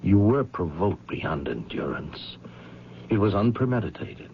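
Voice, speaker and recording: An actor playing a grave, compassionate investigator in a 1970s radio drama, speaking in a measured, persuasive way. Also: male voice, deep voice, very animated, slight background noise, full character voice. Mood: grave persuasion. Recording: dull, humming vintage radio recording.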